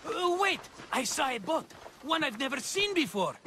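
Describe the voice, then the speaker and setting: An actor playing a scheming, flattering, tight-fisted merchant in a video game, with a theatrical, wheedling manner. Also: male voice, very high-pitched, moderate pace, slight background noise, full character voice. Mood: excited, conspiratorial. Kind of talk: video game cutscene acting.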